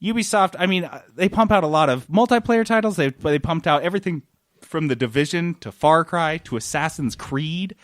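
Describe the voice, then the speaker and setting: A man's voice: fairly high pitch, fairly quick, no echo, clean studio sound. A man co-hosting a gaming news podcast in casual banter, with a sarcastic, joking, sometimes profane way of talking. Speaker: podcast co-host.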